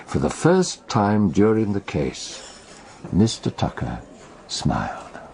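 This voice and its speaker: A man playing a wry, knowing storyteller in a radio detective drama, speaking deliberately. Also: on the low side, huge pitch swings, creaky, darkish tone, breathy edge, exaggerated voice. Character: wry, knowing male storyteller recounting a trial.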